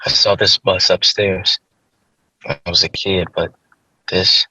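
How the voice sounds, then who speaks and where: A man acting a curious, thoughtful younger brother in a script reading. The voice is low and raspy with a sharp, forceful edge. It is recorded close and dry on a dull lo-fi mic. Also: little pitch movement, unhurried, no echo, exaggerated voice. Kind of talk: screenplay table read.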